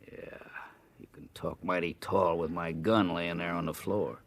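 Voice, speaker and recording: An actor playing a defiant, cornered cattle rustler who blusters in an old-time radio Western. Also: male voice, sits low, flat delivery. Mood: threatening. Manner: blustering, drawn-out threats.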